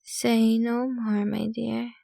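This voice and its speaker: Woman acting a slow, sweetly possessive vampire girlfriend. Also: on the high side, flat delivery, gravelly, warm tone, no echo, studio quality, heavily acted. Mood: doting. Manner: sweetly possessive, teasing, unhurried, addressing the listener intimately. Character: possessive, doting, rich ancient female vampire girlfriend. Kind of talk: ASMR roleplay.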